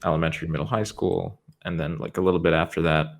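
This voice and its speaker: A man's voice: low-pitched, fairly even intonation, fast, vocal fry. A young man with an American accent whose first language is Spanish, chatting casually as a podcast guest and rambling with lots of fillers. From United States. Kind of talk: casual chat.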